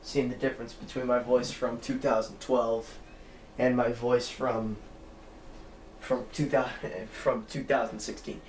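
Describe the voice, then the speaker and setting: A man's voice: mid-range voice, little pitch movement, measured pace, darkish tone. Rambling teenage boy in an echoey room. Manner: rambling, chatty, self-correcting. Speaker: gaming channel host. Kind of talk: casual let's-play chat. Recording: echoey room with faint background noise.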